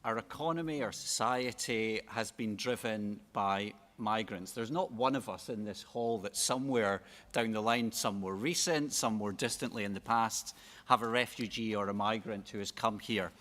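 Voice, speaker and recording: A man's voice: on the low side, normal speed, few pauses, a bit bright. A man speaking reflectively on a conference panel, building to emphatic points. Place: large conference hall. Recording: reverberant conference hall.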